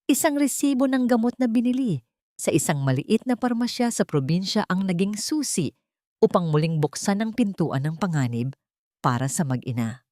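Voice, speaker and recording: A woman with a Filipino accent narrating an emotional scripted story in a measured, literary storytelling manner. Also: mid-range voice, animated, a little mellow, no echo, studio quality.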